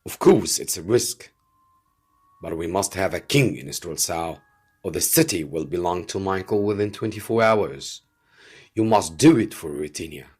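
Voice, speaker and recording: A man voicing a determined soldier in a storybook reading, forceful, urgent and persuasive. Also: low voice, lively intonation, normal speed, husky. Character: commanding, determined male soldier urging a plan.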